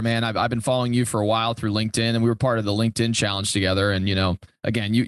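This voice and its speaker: Fast-talking younger man, lowish voice. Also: no echo, clean studio sound. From Europe, born in Italy and raised there and in Germany until fourteen. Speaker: podcast guest, commercial real estate broker. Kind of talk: casual interview chat.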